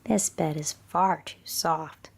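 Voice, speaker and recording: A woman voicing a curious little girl in a whispered bedtime storybook reading, soft and musing. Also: deep voice, slow, vocal fry, husky, heavily acted.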